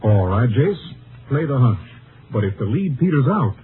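An actor playing a measured, skeptical Texas Ranger captain in a 1950s radio drama, with an American accent and a questioning, authoritative manner. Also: male voice, medium pitch, big pitch swings, natural voice. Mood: measured, considering. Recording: noisy old radio recording, narrow band.

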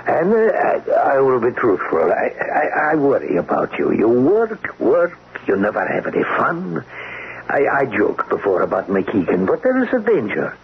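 An actor playing a kindly, knowing older uncle in a radio drama, measured and gently teasing. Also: male voice, mid-range voice, huge pitch swings, vocal fry, heavily acted. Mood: worried. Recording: tinny, noisy old radio recording.

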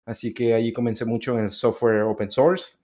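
A man's voice: mid-range voice, level tone, fairly quick, warm tone. Guy being interviewed, friendly and chatty, laughs easily. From Central America. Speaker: interview guest. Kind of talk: casual interview chat.

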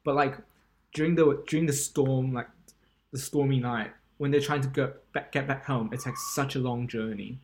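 A young man from Taiwan speaks at a mid-range pitch as a podcast co-host. He rambles loosely through his points, stacking fillers and restarting sentences. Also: fairly even intonation, moderate pace, a little mellow. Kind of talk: casual chat.

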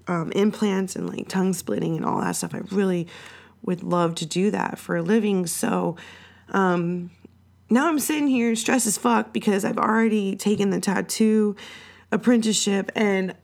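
A woman hosting her own podcast, raspy and hoarse from being sick, rambling and swearing casually. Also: mid-range voice, normal speed, a little mellow. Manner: rambling and profane, lots of fillers. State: sick, hoarser than usual. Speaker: podcast host. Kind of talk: casual solo podcast chat.

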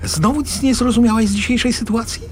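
A man's voice: very high voice, steady tone, fast, slight background noise. An actor playing a sarcastic, exasperated middle-aged father arguing with his wife in a radio drama. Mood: sarcastic, fed up. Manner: sarcastic, argumentative.

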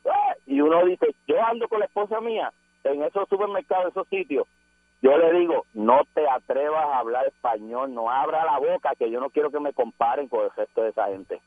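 Caribbean spanish guy calling into radio, kinda high voice, rambly n pushy, tinny phone sound. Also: expressive, brisk, no room sound. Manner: insistent, rambling, emphatic. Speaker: radio call-in listener. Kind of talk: casual phone-in banter. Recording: tinny, narrow-band phone line.

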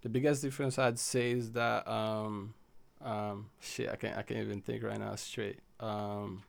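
A man's voice: fairly low pitch, level tone, a little slow, gravelly. A young man from Haiti, a guest chatting casually in a laid-back, slangy, rambling way full of fillers. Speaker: podcast guest. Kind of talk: casual chat.

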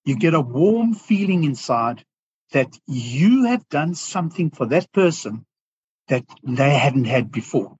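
A man's voice: a little high, lively intonation, a little slow, a little mellow, slightly rough, dry recording, highs cut off. A man with a South African accent, a business owner speaking as a podcast guest, earnest and reflective, often restarting his sentences. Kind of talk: podcast interview.

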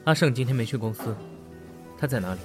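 A man's voice: mid-range voice, animated, fast talker, over music, close to an everyday voice. A man playing a jealous, bitter young rival who needles and mocks in an audio drama. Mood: mocking, bitter. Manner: mocking, insinuating, needling. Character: jealous, sardonic young male rival, smooth-mannered but bitter.

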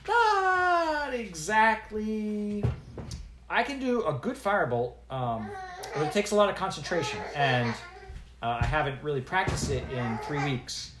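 A man's voice: high voice, lively intonation, a little slow, roomy sound, noisy background, voice pushed up. A man voicing a young human girl, an apprentice wizard, who talks hesitantly with ums and uhs. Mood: flustered.

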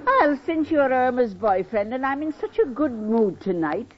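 A woman's voice: high-pitched, sing-song, normal speed. An actress playing a coy, shrewd landlady in an old radio comedy, arch and playfully grand. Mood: coy, amused. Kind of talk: 1940s-50s radio comedy acting. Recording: muffled, noisy old radio with audience.

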